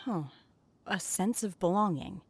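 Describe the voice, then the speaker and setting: A woman's voice: medium pitch, measured pace, slight background noise, close to an everyday voice. An actress playing an aloof, reserved young woman in a video game, speaking in a subdued, polite, hesitant way. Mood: pensive. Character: reserved, soft-spoken, aloof young woman, unsure of belonging.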